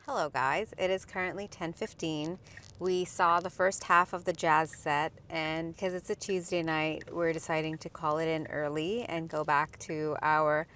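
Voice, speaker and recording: A woman's voice: deep voice, level tone, a little slow, fairly bright tone, faint music behind. Chatty woman vlogging to camera. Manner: chatty, upbeat, talks straight to viewers. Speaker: vlogger, cardiologist. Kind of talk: casual vlog narration.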